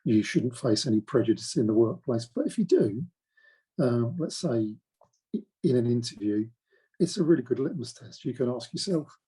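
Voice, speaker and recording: A man's voice: mid-range voice, lively intonation, moderate pace, warm tone, husky, low-quality recording. A man in his forties who stammers, telling his own story in a hesitant, reflective way with many restarts. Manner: stammers, with repeated words and blocked sounds. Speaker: interviewee. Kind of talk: reflective personal account.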